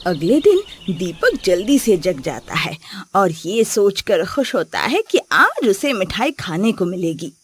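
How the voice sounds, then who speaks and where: Female children's storyteller, mid-pitched with hugely varied intonation, reading scripted narration. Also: big pitch swings, measured pace, a little hiss or hum.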